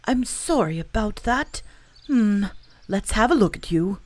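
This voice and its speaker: A woman's voice: medium pitch, animated, measured pace, a little mellow, no echo, voice pushed up. A woman voicing a kindly, simple male teddy bear in a storybook reading, sounding earnest and a little bumbling.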